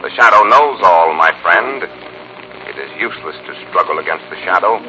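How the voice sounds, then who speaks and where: An actor playing a commanding, determined detective with a secret identity in a 1940s radio drama, with a deep voice. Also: male voice, animated, moderate pace, pushed and bright, exaggerated voice. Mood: menacing, triumphant. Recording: muffled 1940s radio broadcast with hiss.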